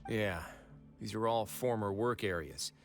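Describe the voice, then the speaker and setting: An actor playing a stern, composed prison administrator who speaks with measured authority in a video game. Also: male voice, on the low side, faint music behind. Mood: composed, wary. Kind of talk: scripted video game voice acting.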